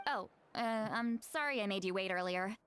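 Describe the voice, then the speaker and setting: A woman's voice: mid-range voice, fairly bright tone, a little hiss or hum. Solemn young shaman woman. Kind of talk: scripted video game voice acting.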